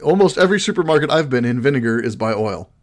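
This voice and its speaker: A man's voice: mid-range voice, animated, fast. A man co-hosting a podcast, teasing his co-host dryly in casual chat.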